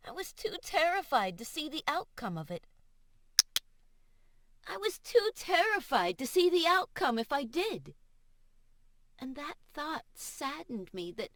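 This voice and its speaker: Slow, dry-sounding woman narrating fiction. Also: on the high side, dry recording. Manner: emotive, measured, deliberate delivery. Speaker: audiobook narrator. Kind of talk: expressive first-person fiction narration. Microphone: studio voice-over microphone.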